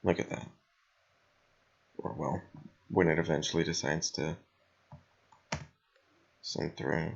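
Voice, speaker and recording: A young man co-hosting a podcast in blunt, rambling, sweary casual chat, with a slightly raspy voice. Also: a bass voice, fairly even intonation, takes it slowly, pauses often, muffled recording. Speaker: podcast co-host.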